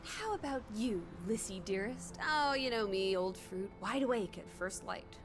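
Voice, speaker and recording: A woman's voice: mid-range voice, animated, normal speed, natural voice. An actress playing a playful young 1920s American woman in a video game, teasing in jaunty old-fashioned slang. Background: music.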